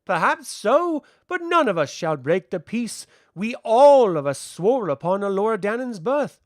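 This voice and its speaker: A man voicing an ancient elemental being in child form, calm and lofty, with formal archaic speech in a fantasy audiobook. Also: high-pitched, unhurried, no echo, studio quality, voice pushed up. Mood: calm, assured.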